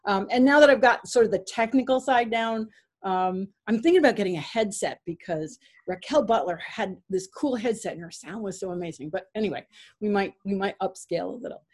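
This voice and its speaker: A woman's voice: medium pitch, animated, fairly quick. Chatty American woman hosting a webinar. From Connecticut, United States. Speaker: webinar host, riding clinician. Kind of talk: casual introduction and interview chat.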